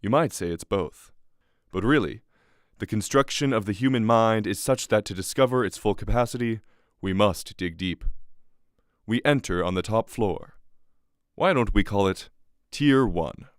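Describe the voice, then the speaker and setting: A man playing a measured, philosophical narrator with a deliberate, rhetorical delivery in a radio play. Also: on the low side, no echo, clean studio sound, natural voice. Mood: measured, intriguing. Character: measured philosophical narrator guiding down through a mind. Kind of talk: scripted radio drama narration.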